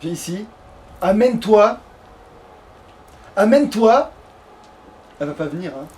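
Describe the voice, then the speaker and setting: A man's voice: high voice, animated, a little slow, darkish tone. A man chatting casually as a let's player, in a crude, slangy, grumbling way. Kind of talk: casual gaming chat.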